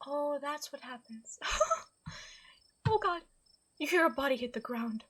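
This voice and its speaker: A woman's voice: sits high, expressive, unhurried, fairly bright tone. A woman reading a visual novel aloud, narrating dramatically and reacting expressively as she goes. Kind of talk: dramatic narration of a game script.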